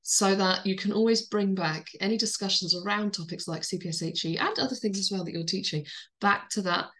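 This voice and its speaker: A woman teacher giving a talk with a croaky voice because she is a little ill, speaking earnestly and informally with many fillers. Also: medium pitch, fairly quick, a bit bright. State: croaky voice from illness. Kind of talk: recorded teacher-training talk.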